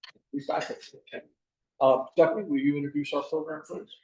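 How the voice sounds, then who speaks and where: A man's voice: on the high side, steady tone, brisk, mellow, a little hiss or hum. Joking man leading a meeting. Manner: joking, easygoing. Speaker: club president leading the meeting. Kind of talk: casual meeting emcee. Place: meeting room. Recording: echoey meeting room.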